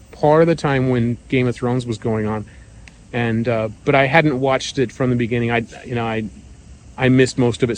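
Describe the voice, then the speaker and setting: A middle-aged man talking in a relaxed, rambling way, with many fillers and restarts, as a vlogger sharing his views. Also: medium pitch, a little hiss or hum, low-quality recording. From United States. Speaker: vlogger living abroad. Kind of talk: casual monologue.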